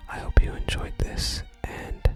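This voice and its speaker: Whispered asmr game playthrough voice, hushed, music playing behind it. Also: a little slow. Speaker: ASMR gaming YouTuber. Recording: soft music under the whisper.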